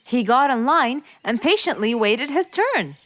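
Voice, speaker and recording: Lively, high-voiced woman storyteller. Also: unhurried. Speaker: narrator. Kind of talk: scripted children's story narration. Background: background music.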